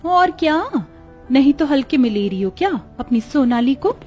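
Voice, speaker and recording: A woman voicing a demanding, boastful young sister-in-law in an animated family story. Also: high-pitched, moderate pace, faint music behind, pitched above the performer's own voice. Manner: insistent, haughty.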